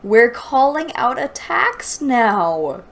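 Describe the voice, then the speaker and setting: Slow-paced woman reviewer, sarcastic and exasperated. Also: a little high, big pitch swings, creaky. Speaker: host of a book review show. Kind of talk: mocking read-aloud book review with commentary.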